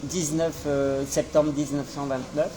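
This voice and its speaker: A man's voice: a little high, flat delivery, unhurried, over a noisy crowd, rough recording. Rambling, hesitant French man. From France. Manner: rambling, hesitant, many fillers. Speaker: vlogger chatting with a stranger. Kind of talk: casual chat.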